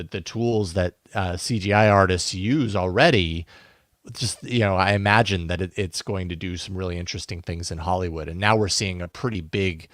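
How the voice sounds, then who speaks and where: A man's voice: deep voice, fairly bright tone, no echo, studio quality. A man co-hosting a podcast, talking through tech news casually and conversationally, thinking aloud as he goes. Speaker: podcast co-host presenting news.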